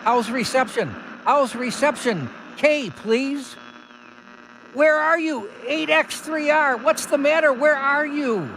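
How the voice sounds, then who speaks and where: An actor playing an anxious radio operator in an old-time radio drama, making insistent calls for contact. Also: male voice, very high voice, slow pace, vocal fry, background noise, exaggerated voice.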